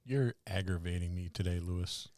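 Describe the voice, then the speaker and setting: A man's voice: low-pitched, moderate pace, a little mellow. A man co-hosting a podcast in casual banter, with a jokey, grumbling wryness. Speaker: podcast co-host. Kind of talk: casual banter among co-hosts.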